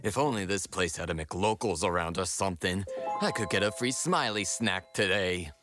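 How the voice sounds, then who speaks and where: A man's voice: low voice, normal speed, music in the background, lightly acted. A man playing a grumbling, sardonic, always hungry adventurer sidekick in a comedy audio drama.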